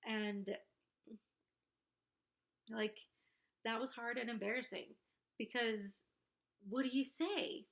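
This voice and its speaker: Congested young woman, slow candid talk. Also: fairly high pitch, fairly even intonation. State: congested, pregnant. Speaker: vlogger. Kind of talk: casual confessional talk to camera.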